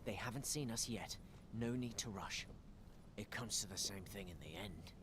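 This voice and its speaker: A man's voice: fairly low pitch, normal speed, background noise, close to an everyday voice. A man playing a stern, impatient adventuring warrior in a video game, with terse, dramatic delivery. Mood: stern, menacing. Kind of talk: video game cutscene acting.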